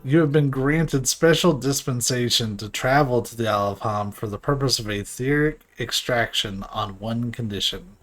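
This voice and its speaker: A man voicing a stiff council official from a fantasy game, formal and measured as if delivering a ruling. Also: mid-range voice, a bit bright, soft background music, lightly acted. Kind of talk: game dialogue read aloud in character.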